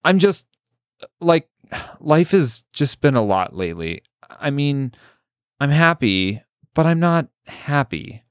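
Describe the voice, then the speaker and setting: A man's voice: big pitch swings, slow, clear, resonant tone, dry recording, clean studio sound. A man doing a flustered, stammering young woman. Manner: flustered, stammering, high-pitched. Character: young woman, nervous and self-conscious.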